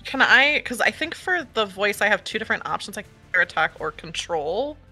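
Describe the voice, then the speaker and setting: A woman's voice: medium pitch, big pitch swings, brisk, bright, forceful tone, soft background music. A woman playing a tabletop game, hesitant with many fillers and often giggling. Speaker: player.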